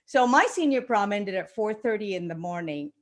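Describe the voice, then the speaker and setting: A middle-aged woman from Rico, giving an energetic, joking motivational keynote that speaks straight to the audience. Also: mid-range voice, normal speed. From Puerto Rico. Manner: energetic, animated, addresses the audience directly. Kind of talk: motivational speech with jokes.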